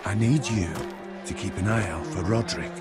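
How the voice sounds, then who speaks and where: An actor playing a proud middle-aged medieval lord in a fantasy game, speaking in a measured, formal, commanding way. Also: male voice, on the low side, close to an everyday voice. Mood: calm, fatherly. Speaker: video game character actor. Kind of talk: cinematic fantasy game dialogue. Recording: game music behind the voice.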